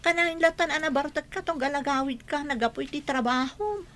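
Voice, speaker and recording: A woman's voice: high-pitched, brisk, fairly bright tone. A woman playing an exasperated, nagging wife in an Ilocano comedy radio drama. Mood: exasperated scolding.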